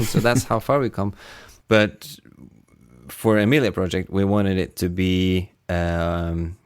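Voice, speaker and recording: Low, smooth-voiced man, slow pace. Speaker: sound designer. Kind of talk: behind-the-scenes casual chat.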